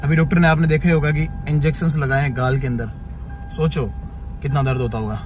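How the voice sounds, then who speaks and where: A young man in his twenties with a chatty, energetic manner, filming a casual vlog on a phone held at arm's length, talking in a car, at home and outdoors. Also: on the high side, fast. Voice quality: energetic, chatty, addresses viewers as guys. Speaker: vlogger. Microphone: handheld phone at arm's length. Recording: noisy car and outdoor background.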